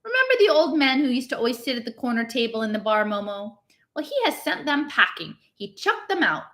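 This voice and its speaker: A woman voicing a brusque, indignant innkeeper's wife who snaps her words in an expressive storybook reading. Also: fairly high pitch, fairly quick, pitched above the performer's own voice. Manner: brusque, snapping. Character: plump, sharp-tongued innkeeper's wife with fiery indignation. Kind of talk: expressive storybook read-aloud.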